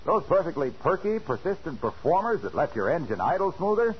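Folksy old-time radio salesman, very husky, animated pitch swings, eager patter, dull vintage recording. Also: brisk, heavily acted. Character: folksy spark plug salesman, genial and eager. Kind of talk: 1940s radio advertising sketch.

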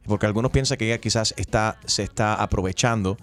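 A man's voice: fairly low pitch, fairly even intonation, fast. A man hosting a talk show, chatty and asking leading questions with hesitant fillers. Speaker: host. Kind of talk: casual talk-show interview.

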